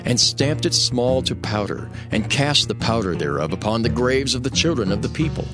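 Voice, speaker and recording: A male narrator reads scripture solemnly as scripted drama. His voice is on the low side with wide, expressive movement, and music plays clearly behind him.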